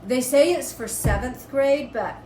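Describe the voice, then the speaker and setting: Higher-pitched woman chatting in an echoey room. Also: measured pace. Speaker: homestead vlogger and host. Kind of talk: casual chat. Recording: roomy echo with steady background noise.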